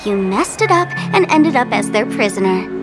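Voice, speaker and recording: A playful, cruel masked trickster who teases every word, her voice sitting fairly high. The lines carry the polish of game voice acting, with music playing clearly underneath. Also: very animated, normal speed. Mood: taunting. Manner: teasing, sly, taunting. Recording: studio voice over game music.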